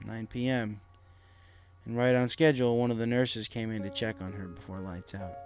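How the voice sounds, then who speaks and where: A man's voice: lowish voice, fairly even intonation, moderate pace. Male story narrator over faint music. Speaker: audiobook narrator. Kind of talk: scripted dramatic story narration.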